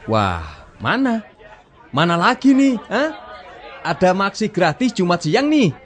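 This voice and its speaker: A man's voice: high-pitched, huge pitch swings, slow, a faint crowd, heavily acted. A man playing a cheeky, brash café helper in a radio drama, teasing the guests informally. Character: cheeky male café helper handing out lunch vouchers.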